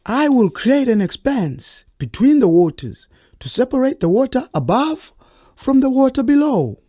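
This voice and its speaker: A woman playing a cool, flowing water spirit in a children's folktale audio drama, with a measured theatrical delivery. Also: sits high, sing-song, dark tone. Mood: confident.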